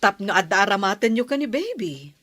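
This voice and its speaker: Lively, encouraging madam. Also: female voice, medium pitch, fairly quick, a little hiss or hum. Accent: Filipino, Ilocano. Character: kindly, encouraging adult woman, addressed as ma'am. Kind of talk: Ilocano radio drama acting.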